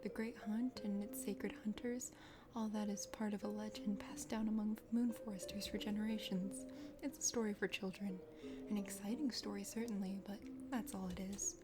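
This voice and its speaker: A woman voicing a chatty, condescending dragon sister from a forest kingdom in a video game, speaking formally in a soft ASMR whisper. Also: medium pitch, steady tone, normal speed, voice pushed down, lightly acted. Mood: amused, condescending. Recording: music behind the voice.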